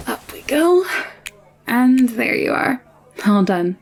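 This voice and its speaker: A woman playing a warm, headstrong, protective young woman in a scripted audio roleplay, coaxing and playful with an assured manner. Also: a little high, big pitch swings, slow, full character voice. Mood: cheerful, coaxing.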